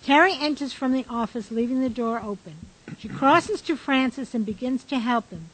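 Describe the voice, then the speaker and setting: A woman with a high-pitched voice narrates a radio play. She reads out the stage directions as scripted narration. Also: expressive, moderate pace, slightly rough, low-quality recording.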